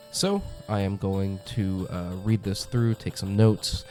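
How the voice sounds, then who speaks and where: A man's voice: lowish voice, animated, slow pace, mellow, soft background music. A young man hosting a comic review channel, congested from a cold, talking in a chatty, enthusiastic way with lots of ums and uhs. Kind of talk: casual review monologue.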